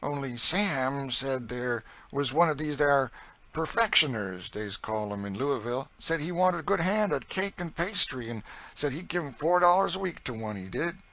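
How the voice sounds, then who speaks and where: A male narrator plays an enslaved woman who cooks, motherly and eager. The voice is lifted high and pushed out loud and bright, in a folksy dialect full of emphatic exclamations. It belongs to an audiobook story. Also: lively intonation, moderate pace. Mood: coaxing. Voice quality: folksy dialect speech with dropped sounds. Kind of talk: audiobook character dialogue.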